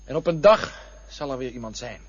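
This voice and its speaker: A man's voice: mid-range voice, expressive, fairly quick, breathy edge, no room sound, dull audio. A man playing a restless lover in a radio play, looking back on past affairs and turning bitter when pushed. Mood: wistful. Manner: wistful, then bitter and accusing. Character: restless adult man chasing love and bodies.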